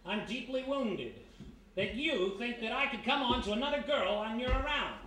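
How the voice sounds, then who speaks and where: In an echoey live room with faint noise, an actor plays a glib radio-drama private eye, a charming former thief. His male voice sits high, and he talks with flirtatious ease. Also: expressive, moderate pace, a bit bright. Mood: mock wounded.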